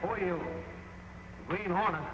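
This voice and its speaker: An actor playing a gruff, cornered crooked construction boss in a 1940s film serial, curt and defiant in his delivery. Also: high voice, unhurried, noisy background. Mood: guarded, uneasy. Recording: old film soundtrack with loud music behind.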